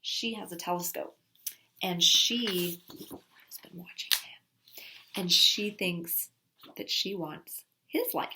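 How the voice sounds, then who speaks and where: A woman's voice: fairly low pitch, slow, pauses often. A woman casually presenting book recommendations in an enthusiastic, gushing way. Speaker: children's book reviewer. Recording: clearly noisy recording with clicks.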